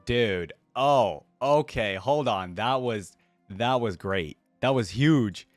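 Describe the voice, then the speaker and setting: A man hosting a reaction video, giving casual, excitable commentary in slangy language and addressing his viewers directly on a close mic. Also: mid-range voice, slow, no room sound, soft background music.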